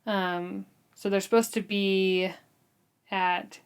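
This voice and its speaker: A woman's voice: mid-range voice, fairly even intonation, takes it slowly, smooth. A woman co-hosting a book discussion, recapping a novel in a casual, giggly, conversational way. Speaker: book discussion podcast co-host.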